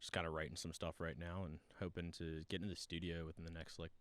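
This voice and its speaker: A man's voice: low-pitched, flat delivery, fast, fairly bright tone, clean tone, no room sound. A young man as a podcast guest in easygoing casual chat, agreeable and full of "yeah"s.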